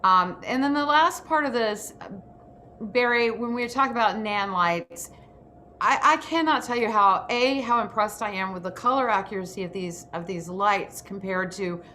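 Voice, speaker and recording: A woman's voice: mid-range voice, moderate pace, sharp tone, slight background noise. A woman hosting an informal online workshop, speaking in an emphatic, enthusiastic way. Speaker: host of an actors' workshop.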